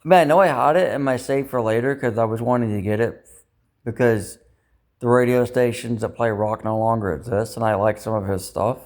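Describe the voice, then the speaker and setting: A man's voice: mid-range voice, steady tone, brisk, darkish tone. A man talking casually in a rambling monologue, second-guessing himself and ruminating, with some mild swearing. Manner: self-doubting, ruminating, mildly profane. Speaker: vlogger.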